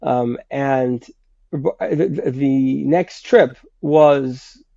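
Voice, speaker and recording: A man with a sinus infection explaining history in a conversational way, pausing often and restarting his sentences. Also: medium pitch, vocal fry, dark tone, dry recording. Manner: hesitant, many restarts and fillers. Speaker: guest writer. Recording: dull, lo-fi sound.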